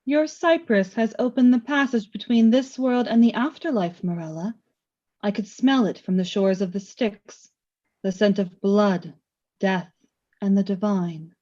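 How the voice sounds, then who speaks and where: Woman doing death as a spooky spirit lady for a radio play, calm and taunting, slow solemn lines, bit muffled audio. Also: mid-range voice, lightly acted. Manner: measured, solemn, theatrical. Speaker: voice actor.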